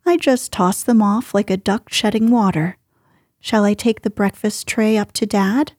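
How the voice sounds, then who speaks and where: A woman's voice: mid-range voice, fairly even intonation, unhurried, a little mellow, no room sound, clean studio sound, natural voice. Woman reading as a cheeky teenage girl sleuth. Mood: playful. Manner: playful and teasing.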